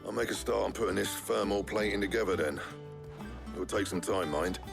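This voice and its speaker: A deep, gravelly man as a grumbling blacksmith. He is curt and grudging, with guitar music clearly heard behind him. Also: fairly quick. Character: grumbling, gruff male blacksmith. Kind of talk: video game voice acting.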